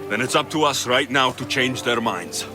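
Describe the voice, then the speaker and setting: An actor playing a young Greek mercenary hero in a video game, terse, serious and determined. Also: male voice, mid-range voice, moderate pace, over music, natural voice. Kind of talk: video game dialogue acting.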